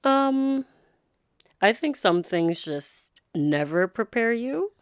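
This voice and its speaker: A woman being interviewed as a guest, a nurse, speaking in a soft-spoken, thoughtful way with frequent pauses and fillers. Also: on the high side, very animated, no room sound, clean studio sound. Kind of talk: reflective interview conversation.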